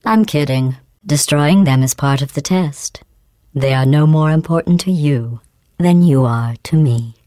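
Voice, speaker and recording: A cold sarcastic computer lady, low, smooth robotic voice, super dry. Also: a little slow, no room sound. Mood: deadpan. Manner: deadpan, mocking, passive-aggressive praise. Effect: synthetic robotic voice processing. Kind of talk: video game voice clips.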